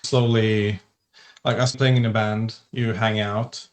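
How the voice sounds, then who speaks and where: A man's voice: fairly low pitch, level tone, slow pace. A man, a musician guest on a podcast, speaking English. He is hesitant, restarting his sentences and laughing now and then. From Norway. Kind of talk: casual interview chat.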